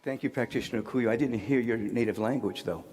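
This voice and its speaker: A man's voice: medium pitch, fairly quick, big-room sound, loud music behind the voice. A man hosting a church service, joking with a practitioner and introducing a guest singer with playful emphasis. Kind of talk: introducing a performer.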